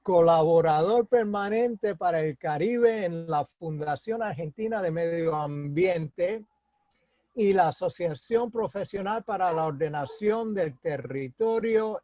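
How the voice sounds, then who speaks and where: A man's voice: high-pitched, a little slow, dark tone, no room sound. A man interviewing a guest in Spanish, reading a long formal introduction and stumbling over words now and then. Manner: reads a long guest biography, stumbling and joking at himself.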